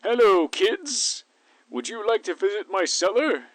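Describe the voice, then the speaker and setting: High-pitched kids' show bear mascot, creepily inviting, slow drawn-out delivery for a horror story, close dry sound. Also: male voice, sing-song, dry recording. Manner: slow, drawn-out, addressing children.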